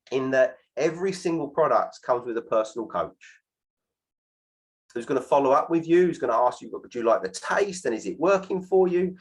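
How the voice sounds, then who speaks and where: A man around forty giving an energetic sales presentation on a video call, tagging "right?" onto his sentences. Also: mid-range voice. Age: 40s. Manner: keeps adding "right?" to sentences, lively and persuasive. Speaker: host of an online presentation.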